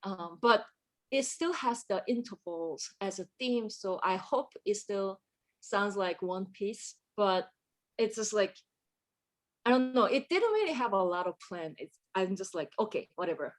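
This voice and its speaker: A woman talks through her thoughts slowly and reflectively, pausing with fillers as she searches for the right English words. Her voice sits at an ordinary middle pitch for a woman. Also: expressive. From Korea. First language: Korean. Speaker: composer, interview guest. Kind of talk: casual conversational interview.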